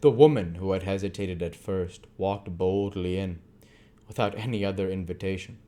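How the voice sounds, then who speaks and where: Low-voiced man reading a story. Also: moderate pace, dark tone. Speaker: amateur audiobook reader. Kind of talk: literary narration read aloud.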